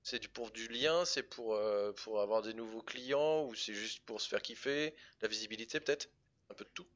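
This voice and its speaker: A man's voice: on the low side, moderate pace, fairly bright tone. Informal male host on a dull video call. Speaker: live stream host, interviewer. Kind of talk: casual chat interview. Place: indoor room, video call.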